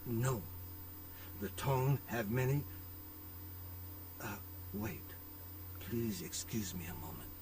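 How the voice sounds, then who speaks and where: A man's voice: medium pitch, animated, slow pace, pauses often. An actor playing a middle-aged Chinese restaurant owner in a video game, a worried father who speaks formally and courteously. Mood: grave, formal. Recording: distant game audio with background noise.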